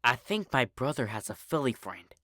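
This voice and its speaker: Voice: mid-range voice, very animated, moderate pace, fairly bright tone, no room sound, studio quality. A performer voicing an earnest orange farm pony mare, a protective sister in a cartoon story, who explains things a little hesitantly. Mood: earnest, suspicious.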